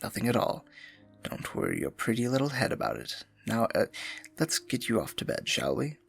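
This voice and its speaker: A man's voice: medium pitch, sing-song, normal speed, vocal fry, breathy edge, faint music behind, full character voice. A man playing a scheming royal advisor in a fantasy audio roleplay, silky, condescending and falsely sympathetic, often dropping to a murmur. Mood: sly, playful. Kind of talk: fantasy ASMR roleplay acting, intimate and theatrical.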